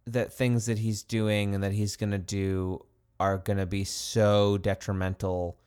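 A man's voice: on the low side, level tone, unhurried, a little mellow, clear, resonant tone. A man co-hosting a podcast in casual conversation, often repeating words and restarting his sentences. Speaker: podcast co-host.

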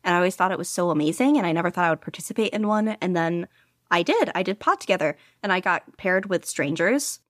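A woman's voice: lowish voice, expressive, fast talker, no room sound, clean studio sound. A woman guest on a casual podcast interview, talking eagerly and laughing often. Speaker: podcast guest, audiobook reader.

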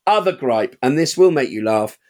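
A man chatting on a casual podcast, telling stories from his auction house with lively, animated energy. He acts out the people in his stories, his voice jumping up when he mimics them, and he laughs often. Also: mid-range voice, normal speed. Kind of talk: casual chat.

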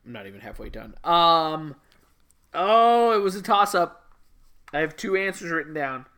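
Male trivia co-host, fairly high bright voice, sarcastic jokey banter. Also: moderate pace. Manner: joking, sarcastic, lively. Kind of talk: casual comedic chat reading trivia answers.

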